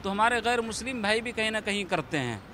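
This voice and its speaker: High-voiced man, an imam answering formally. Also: animated, brisk, sharp tone, a little hiss or hum. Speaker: mosque imam, interviewee. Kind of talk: measured interview answers.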